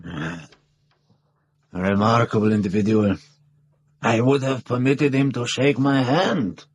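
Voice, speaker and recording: A pompous king in a radio play, grand and condescending, rough husky voice. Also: mid-range voice, unhurried. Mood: wistful, lofty. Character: pompous, haughty male King of Bohemia. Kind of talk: radio drama acting.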